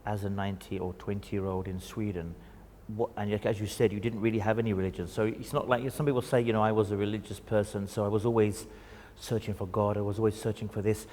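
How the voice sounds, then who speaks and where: A male talk show host who talks quickly and smoothly, his voice sitting a bit low. He opens with Arabic greetings and asks questions in a fluent, steady way. The studio sound has a long echo and a faint hiss underneath.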